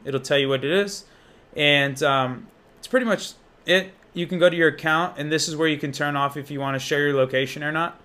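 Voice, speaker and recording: A man's voice: fairly high pitch, a little fast, bright, forceful tone. A young American man giving a casual, unscripted app walkthrough in an informal, slangy, enthusiastic manner. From Indiana, United States. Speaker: app reviewer and demonstrator.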